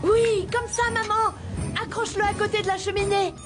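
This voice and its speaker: Eager, very high-voiced little boy. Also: level tone, normal speed, music in the background. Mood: urgent. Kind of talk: French-dubbed children's cartoon.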